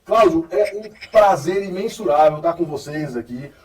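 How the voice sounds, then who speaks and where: A man interviews his guest in a friendly, chatty manner. His voice sits a little high. The room sounds echoey and the mic is somewhat distant. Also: normal speed, darkish tone. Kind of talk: interview questions.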